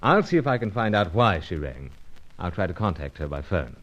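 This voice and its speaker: An actor playing a dashing, gallant British secret agent in an old-time radio serial, with jaunty upper-class slang and clipped phrases. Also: male voice, low voice, big pitch swings, brisk, a bit bright, exaggerated voice. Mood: breezy. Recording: dull, lo-fi radio serial recording.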